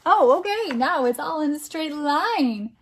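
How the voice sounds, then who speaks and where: A woman's voice: high-pitched, animated, measured pace, slight background noise. A woman reading a picture book aloud to a young child as a teacher, with encouraging, exclamatory delivery. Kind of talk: interactive children's storytime read-aloud.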